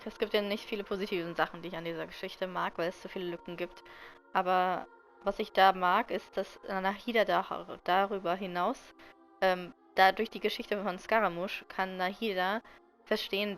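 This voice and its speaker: A woman's voice: mid-range voice, fairly even intonation, moderate pace, a bit bright. A woman giving casual let's-play commentary as a game streamer, reflective and thinking aloud. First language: German. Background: game music.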